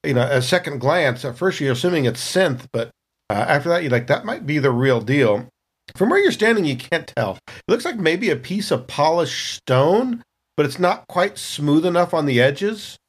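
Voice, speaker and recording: A man's voice: fairly high pitch, big pitch swings, moderate pace, breathy edge. A man narrating a tabletop role-playing scene in a casual, improvised storytelling style.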